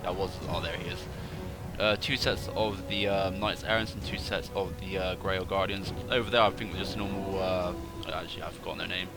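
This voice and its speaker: A man's voice: low-pitched, steady tone, a little fast, over music. A man with a slightly congested, stuffy voice giving casual replay commentary on a strategy game, rambling and correcting himself as he goes. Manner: rambling, self-correcting, thinking aloud. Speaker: gaming channel host.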